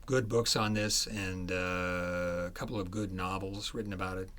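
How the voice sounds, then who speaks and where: A man speaking as an author in a conversational interview about his book, halting as he searches for words. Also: sits low, fairly even intonation, fairly bright tone.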